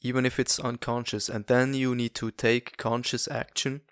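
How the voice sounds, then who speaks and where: A man's voice: medium pitch, little pitch movement, smooth, no echo, studio quality. A young man from Switzerland, speaking English as a second language, talks through his podcast alone and stops often for fillers and restarts. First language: not English. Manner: halting, many fillers and restarts. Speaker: podcast host. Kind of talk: casual solo monologue.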